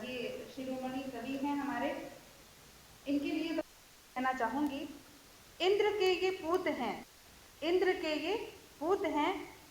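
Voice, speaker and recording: A woman's voice: high voice, a bit bright, echoey room, slight background noise, poor audio. A woman hosting a poetry event, introducing poets with courteous, gracious words. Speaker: host.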